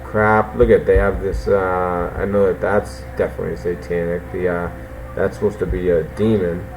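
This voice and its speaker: A man with a Boston accent hosting a call-in show, talking haltingly with many fillers. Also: sits low, flat delivery, noisy background. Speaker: host. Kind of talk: casual call-in chat.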